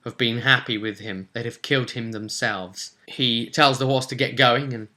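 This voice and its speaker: A man's voice: medium pitch, fairly even intonation, normal speed, pushed and bright. A man, casually recounting a tabletop roleplay session as game master in a rambling, colloquial way.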